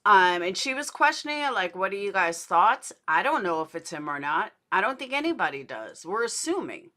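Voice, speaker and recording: Woman, bright forceful voice, mid pitch, indignant and blunt, casual true crime livestream host. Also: very animated, moderate pace.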